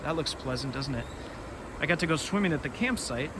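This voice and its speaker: A man's voice: fairly high pitch, lively intonation, fairly quick. A man hosting a casual children's read-aloud outdoors, rambling and easily sidetracked as he talks. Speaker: read-aloud storytelling host. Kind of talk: casual chat summarizing a children's book. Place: outdoors beside a river. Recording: noisy outdoor recording.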